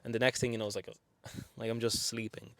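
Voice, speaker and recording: A man's voice: mid-range voice, level tone, fast, a bit bright, no echo. A man in his twenties from Lithuania, speaking English with a Lithuanian accent, telling a personal story in a chatty, frank way with many fillers. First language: Lithuanian and Russian. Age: 20s. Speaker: vlogger. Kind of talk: casual storytelling to camera.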